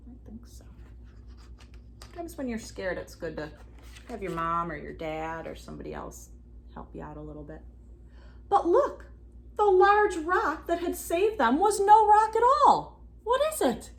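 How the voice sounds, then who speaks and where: A woman reading a storybook aloud expressively to children, pausing for asides and questions to her young listeners. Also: high voice, a little hiss or hum. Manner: animated, addresses children with questions. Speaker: children's read-aloud reader.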